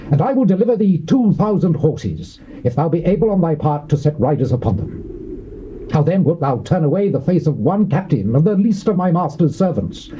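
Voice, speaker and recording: An actor with a British accent playing a boastful, threatening Assyrian envoy, declaiming in a taunting, theatrical biblical drama style. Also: male voice, fairly high pitch, mellow. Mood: scornful challenge. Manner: declamatory, taunting, archaic oratory. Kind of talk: theatrical dramatized scripture reading. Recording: muffled old cassette with background hiss.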